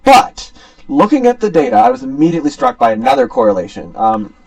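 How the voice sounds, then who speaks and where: A man's voice: mid-range voice, wildly swooping pitch, fast, darkish tone, far from the mic, low-quality recording. A young man giving an academic student presentation in an informal, somewhat hesitant explaining manner. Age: young adult.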